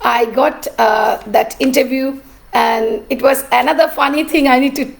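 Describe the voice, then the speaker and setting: A middle-aged woman, an artist and teacher telling her story in a cracked, rough voice, pausing often with "uh". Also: sits high, fairly even intonation. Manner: halting, with many "uh" pauses. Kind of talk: personal storytelling.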